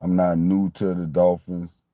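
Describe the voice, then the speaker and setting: Man, late forties, from Miami, slow pace, dry sound, rambling football fan monologue. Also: sits low, level tone, dark tone, no room sound. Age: 40s. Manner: repetitive, meandering, conversational. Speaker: sports fan commentator. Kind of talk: casual sports talk monologue.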